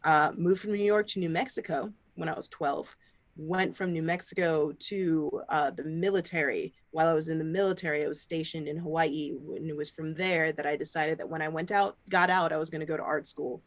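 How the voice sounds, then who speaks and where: A man from Barbados, speaking as an artist on a panel, relaxed and conversational with many fillers. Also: fairly high pitch, brisk, no room sound. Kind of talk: panel discussion.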